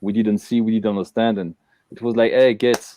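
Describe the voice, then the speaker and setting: A man's voice: lowish voice, little pitch movement, fairly quick, mellow, slight background noise. A man from Belgium hosting a casual live interview, talking with many fillers and restarts. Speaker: host.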